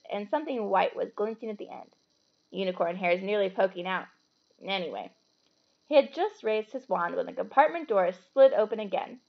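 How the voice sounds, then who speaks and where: Female read-aloud storyteller, expressive delivery for children, creaky higher voice, slightly dull recording. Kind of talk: expressive children's book reading with casual asides.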